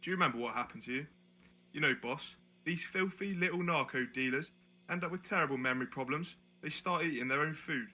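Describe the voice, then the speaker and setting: Give the voice a very sharp, forceful edge, sitting slightly high for a man. It is a man acting a sneering junior detective in a dystopian audio drama. He mocks and bullies, while toadying to his boss. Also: fairly quick, slightly rough, full character voice, rough recording. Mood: mocking, menacing. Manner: taunting, sarcastic, sycophantic to his boss. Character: sneering, mocking male detective constable bullying a prisoner.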